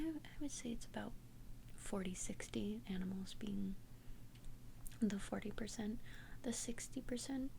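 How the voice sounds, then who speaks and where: A woman whispering in a breathy, gentle, hesitant way, confiding as a friendly vampire best friend in an ASMR roleplay. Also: medium pitch, a little hiss or hum, rough recording. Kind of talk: whispered ASMR roleplay, one-sided conversation. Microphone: close ASMR microphone.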